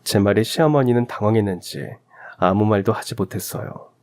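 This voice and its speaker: A man's voice: lowish voice, fairly quick, a little mellow. A man reading a scripted first-person story as the narrator of a radio drama. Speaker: narrator of audio stories.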